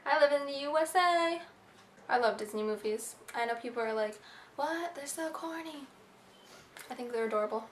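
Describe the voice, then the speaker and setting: A woman's voice: high voice, moderate pace. Chatty young woman vlogging in an echoey room. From Egypt, raised in the USA. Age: young adult. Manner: chatty, bubbly, filler-heavy with lots of "like". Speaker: beauty vlogger. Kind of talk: casual facts-about-me vlog. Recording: echoey room, faint hiss.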